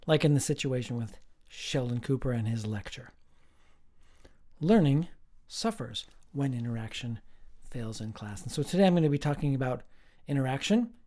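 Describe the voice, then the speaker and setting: A man, a professor, giving a slow, emphatic recorded lecture with playful asides. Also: mid-range voice, lively intonation, dark tone.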